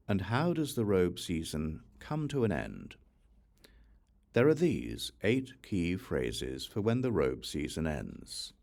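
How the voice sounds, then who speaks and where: Male audiobook reader for scripture: low, creaky voice, varied intonation, deliberate scripted delivery. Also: a little mellow. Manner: measured, deliberate reading. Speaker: audiobook narrator. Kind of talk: scripted narration of a religious text.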